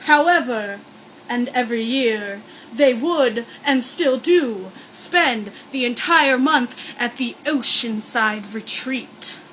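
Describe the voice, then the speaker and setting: A woman's voice: a little high, lively intonation, slow, a bit bright, slight background noise, poor audio. A woman reading a story aloud in a sarcastic, mock-dramatic comic manner, with joking asides. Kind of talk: comedic read-aloud with mock-spooky asides.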